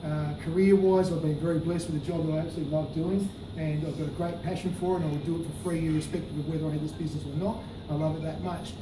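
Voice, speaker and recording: A man speaking to a packed meeting room, loud and friendly, rambling with lots of fillers. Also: fairly high pitch, steady tone, fast talker, few pauses. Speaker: business presenter. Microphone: handheld microphone held away. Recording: echoey room, distant mic, noisy.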